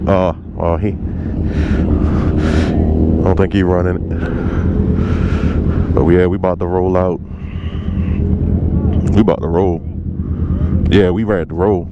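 A man vlogging casually from a motorcycle meetup outdoors, talking to viewers in relaxed slang through a helmet or body camera mic. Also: low-pitched, a little slow, pauses often, lo-fi sound. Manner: laid-back slangy talk to viewers. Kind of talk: casual vlog narration. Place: outdoors at a parking lot meetup. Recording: loud wind and engine noise outdoors.

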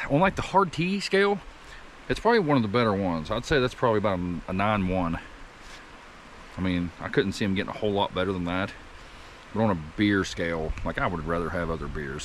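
A man in his thirties talking to the camera while cooking outdoors at a wooded campsite, rambling and easygoing as he thinks out loud. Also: deep voice, a little hiss or hum. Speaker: camping cook vlogger.

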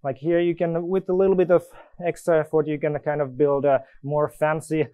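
Finnish man with a dark, halting delivery. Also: a little high, level tone, dry recording. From Finland. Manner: halting, many restarts and fillers. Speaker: conference presenter. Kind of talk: live coding demo talk. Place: conference room.

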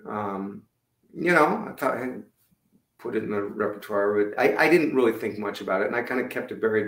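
A middle-aged man with a low voice, chatting loosely about his music over a video call, with many fillers and restarts. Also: a bit bright. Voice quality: lower-range voice. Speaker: musician guest. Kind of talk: casual interview chat. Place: home room indoors. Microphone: video call webcam. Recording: echoey room on webcam.

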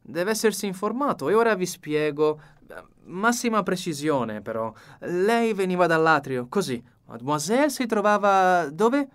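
Male reader as excitable foreign detective: high, swooping, courteous, precise, storybook delivery. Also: normal speed, clean tone. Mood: fussy, excited. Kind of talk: audiobook character reading.

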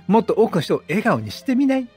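A man's voice: high-pitched, wildly swooping pitch, fast, darkish tone, husky, exaggerated voice. A man voicing a flamboyant, confident male traveling entertainer from a video game, with effeminate, theatrical speech. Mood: encouraging.

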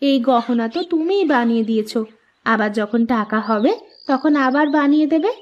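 A woman voicing a gentle, consoling village wife in a cartoon, soothing and affectionate, on dull, lo-fi audio. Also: fairly high pitch, animated, fairly quick, close to an everyday voice. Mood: tender, consoling. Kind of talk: cartoon character dialogue. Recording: dull, lo-fi audio with background noise.

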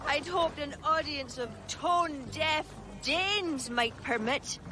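An actress playing a brash, teasing young Irish warrior poetess in a game cutscene, with a wry, playful, theatrical manner. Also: female voice, high-pitched, takes it slowly, pushed and bright, faint music behind, full character voice. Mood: mocking, defiant.

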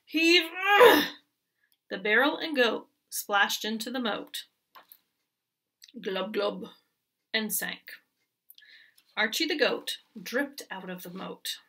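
Lively, bright-voiced woman reading a picture book. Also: mid-range voice, takes it slowly, pauses often, distant mic. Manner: expressive, rhythmic storytelling. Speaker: teacher reading aloud. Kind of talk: children's picture book read-aloud.

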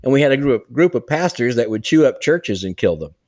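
A man's voice: mid-range voice, sing-song, fairly quick, darkish tone, no echo. Earnest older man on a headset. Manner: earnest, emphatic pastoral encouragement. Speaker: church revitalization leader, co-host. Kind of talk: casual podcast conversation. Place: home room, indoors. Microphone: headset on a video call.

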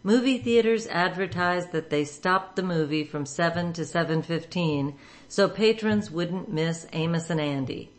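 A woman reading a scripted introduction as host of a radio show. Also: on the low side.

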